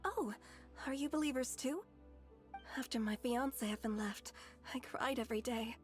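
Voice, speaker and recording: A woman's voice: on the high side, moderate pace, lightly acted. An actress voicing a naive young woman who earnestly gushes about her faith. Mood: gushing. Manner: earnest and gushing. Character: naive devoted young woman, gushing believer. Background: game music.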